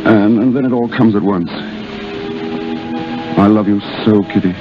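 An actor playing a boyish young Englishman in love, with halting declarations, in a 1930s radio drama. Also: on the low side, lightly acted. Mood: anguished, tender. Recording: muffled 1930s radio broadcast with music.

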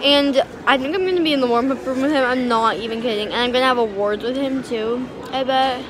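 Gushing high-voiced teen girl vlogger. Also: a little fast, crowd noise. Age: teens. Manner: gushing, breathless, giggly. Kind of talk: excited casual vlog chat. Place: indoor restaurant at a competition venue. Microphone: phone held at arm's length.

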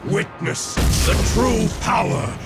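An actor playing a menacing old immortal cult leader in a video game, grandiose, theatrical and threatening. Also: male voice, high-pitched, sing-song, takes it slowly, vocal fry, full character voice. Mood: boastful fury. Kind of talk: video game villain acting. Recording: loud music and battle sounds behind.

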